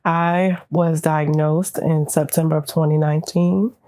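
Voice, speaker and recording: American woman panelist, low even voice, dry recording, simple self-introduction. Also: a little slow, no echo. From Norfolk, Virginia, United States. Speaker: round-table panelist, cancer survivor. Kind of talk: self-introduction at a round table.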